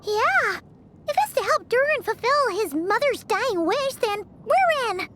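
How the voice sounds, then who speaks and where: A woman's voice: extremely high pitch, animated, a little slow, fairly bright tone, a little hiss or hum, heavily acted. A woman voicing a tiny childlike fairy companion in a video game, outspoken and full of exclamations. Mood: eager, upbeat. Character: tiny fairy companion, childlike, eager, chirpy, easily exasperated. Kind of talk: video game character voice acting.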